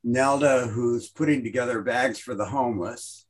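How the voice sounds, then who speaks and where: A man leads a casual meditation group discussion. His voice is slightly husky, and he asks friendly questions, often restarting his sentences. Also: medium pitch, normal speed.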